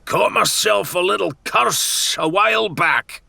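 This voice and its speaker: A man voicing a huge, brutish cursed pirate villain in an audiobook, with a gruff, roaring delivery and rough pirate speech. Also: sits high, measured pace, sharp tone, dry recording, pitched above the performer's own voice, full character voice. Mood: gleeful roar. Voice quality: gruff, gravelly roar. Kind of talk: dramatic audiobook character acting.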